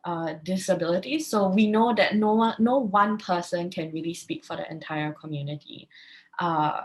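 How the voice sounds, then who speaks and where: Moderator: woman, mid-pitched, halting with restarts, on a webinar video call. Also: slight background noise. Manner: hesitant, restarts phrases.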